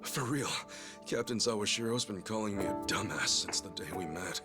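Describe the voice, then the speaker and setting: An actor playing an earnest, bumbling, excitable ex-yakuza in a video game. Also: male voice, lowish voice, normal speed, over music, lightly acted. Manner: bumbling, hesitant, exuberant when excited.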